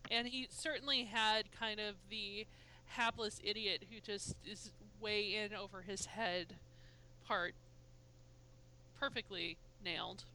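A woman's voice: medium pitch, fairly even intonation, slow, bright, forceful tone, a little hiss or hum, low-quality recording. A woman chatting casually as a podcast co-host, emphatic and laughing often. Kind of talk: casual chat.

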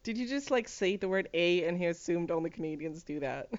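A woman's voice: on the low side, lively intonation, brisk, darkish tone. A woman chatting casually as a podcast co-host, wry and laughing. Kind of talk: casual chat. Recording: dull lo-fi sound.

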